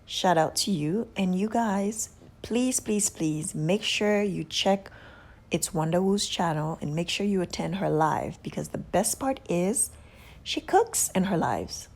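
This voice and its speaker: Slow-talking Haitian-accented woman vlogger. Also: on the low side, animated, vocal fry, darkish tone. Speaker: beauty channel host. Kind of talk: casual vlog shout-outs.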